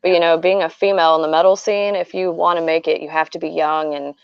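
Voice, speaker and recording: A woman's voice: on the low side, level tone, quick pace, clear, resonant tone, no echo. A woman in her thirties, a fan putting questions to the guest, talking with enthusiasm and admiration and a casual "like" and "you know.". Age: 30s. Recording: dull, dry lo-fi sound.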